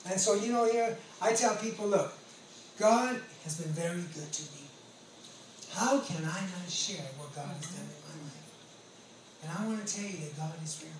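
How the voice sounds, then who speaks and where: A man's voice: high-pitched, moderate pace. An American man in his late forties giving an earnest, emphatic testimony as a preacher, often repeating his phrases. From California, United States. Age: late 40s. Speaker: pastor and ex-convict preacher. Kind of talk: testimony sermon. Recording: roomy echo, distant mic, background noise.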